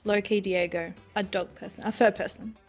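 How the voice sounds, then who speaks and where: A woman's voice: mid-range voice, steady tone, normal speed, soft background music. A woman staff member chatting casually as she answers interview questions.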